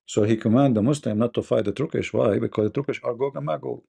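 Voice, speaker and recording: A man's voice: mid-range voice, fast talker, gravelly, dark tone, husky. A man with an Arabic accent, speaking as host of a live debate stream in a blunt, scornful manner.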